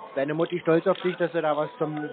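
A man's voice: fairly high pitch, flat delivery, quick pace, music in the background. A man making mocking prank phone conversation in a teasing, sarcastic manner, as the host of the calls. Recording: narrow tinny phone line.

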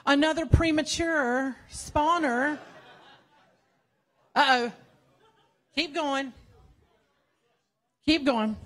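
A woman's voice: sits high, extremely slow, long pauses, fairly bright tone. A woman in her sixties, a marine educator, gives a casual public science talk in a playful, chatty way, often correcting herself mid-sentence, speaking into a handheld mic in a big museum hall. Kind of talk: informal science cafe lecture. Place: large museum cafe hall with a seated audience. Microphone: handheld microphone.

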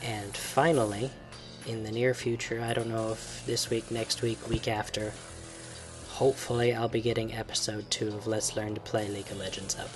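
A man, sick and croaky, casually giving a channel update as a gaming host. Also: fairly low pitch, level tone, normal speed, over music. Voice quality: creaky. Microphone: desktop microphone.

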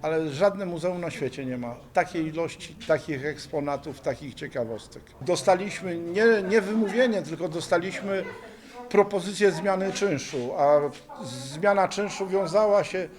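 A man's voice: fairly high pitch, moderate pace. A man speaking informally in an interview, with an enthusiastic, emphatic manner. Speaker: volleyball museum founder being interviewed.

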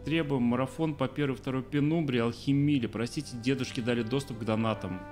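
A man's voice: mid-range voice, steady tone, quick pace, darkish tone. A man in his thirties streaming a game, joking with chat and reading the subtitles aloud. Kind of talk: casual let's play commentary. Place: small room at home. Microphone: desk mic, webcam framing. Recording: game music playing behind. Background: game music.

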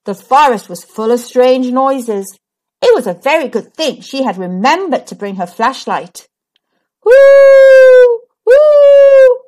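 A woman narrates a picture book for children in a high voice. The intonation swings widely, with dramatic and deliberate phrasing that plays up the story. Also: animated. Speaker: storybook reader. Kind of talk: expressive scripted read-aloud for children.

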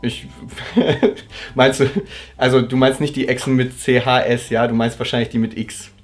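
Host, thirties, German, easygoing and chatty, mid-pitched, living-room interview. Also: male voice, fairly even intonation, moderate pace, soft background music. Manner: chatty, laughs often.

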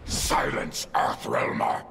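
An actor voicing a menacing, arrogant otherworldly warlord in a video game, gravelly and grandiose. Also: male voice, a bit bright. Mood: cold menace. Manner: grandiose, slow, declamatory. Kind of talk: video game villain lines. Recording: game ambience noise behind.

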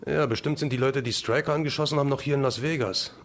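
A man's voice: medium pitch, steady tone, fast talker, natural voice. A man as an easygoing crime-fighting agent. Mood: casual, decisive. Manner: casual, reassuring. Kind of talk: amateur German radio play acting.

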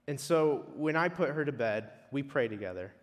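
A man preaching a sermon in a measured way, leaning on emphatic repetition. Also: medium pitch, lively intonation. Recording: long reverberant hall echo.

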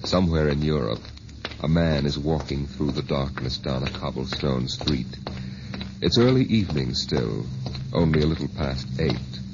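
A man's voice: rumbling low, moderate pace. A man narrating a vintage radio science fiction drama in a solemn, suspenseful manner. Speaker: announcer and narrator. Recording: noisy, dull old radio recording.

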